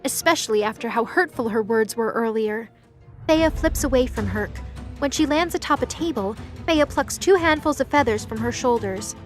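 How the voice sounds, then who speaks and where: Woman, audio drama narrator, scripted storytelling, fairly high pitch, music behind. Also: expressive, normal speed. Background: music under the voice.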